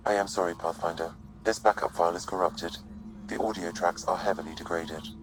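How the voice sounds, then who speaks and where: An actor playing a calm artificial intelligence assistant in a video game, speaking in a measured, formal and precise way. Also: male voice, deep voice, faint music behind, close to an everyday voice, poor audio. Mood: calm, factual. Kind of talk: scripted game dialogue.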